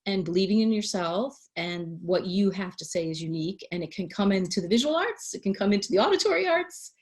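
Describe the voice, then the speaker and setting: A woman's voice: mid-range voice, lively intonation, a little fast. A middle-aged woman, enthusiastically chatting on a livestream and chiming in to finish her daughter's sentences. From United States. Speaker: parent guest, artist. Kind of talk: casual livestream chat.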